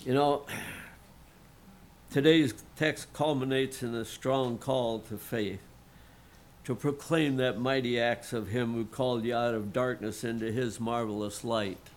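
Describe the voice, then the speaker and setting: Slow, throat-clearing lay preacher. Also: male voice, medium pitch, fairly even intonation. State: frog in his throat, clearing it. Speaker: lay pastor. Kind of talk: sermon.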